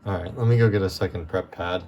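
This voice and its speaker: A man hosting a relaxed, chatty repair walkthrough, his voice going a bit hoarse as he works. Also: low-pitched, quick pace, lo-fi sound, slight background noise. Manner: relaxed, chatty, with many fillers. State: losing his voice. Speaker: tech repair video host.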